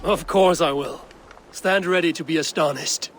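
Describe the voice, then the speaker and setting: An actor playing a confident, wry Viking warrior in a video game, measured and dryly teasing. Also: male voice, on the high side, fairly bright tone, close to an everyday voice. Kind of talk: video game dramatic dialogue. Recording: noisy outdoor game ambience behind.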